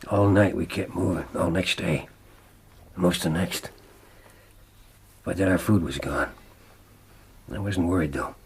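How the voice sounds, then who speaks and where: An actor playing a desperate, haunted frontiersman in a radio drama, grave and weary, with a gravelly, husky voice. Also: low-pitched, measured pace, long pauses, slight background noise. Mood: grave, tense. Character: haunted, desperate male frontiersman recounting his lost love. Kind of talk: radio drama acting and narration.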